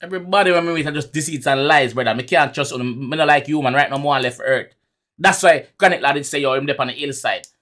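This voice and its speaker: Man, Jamaican accent, high-pitched, forceful bright tone, emphatic reaction host, faint music behind. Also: fast talker. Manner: animated, emphatic, repeats phrases. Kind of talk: casual music reaction commentary in Jamaican Patois.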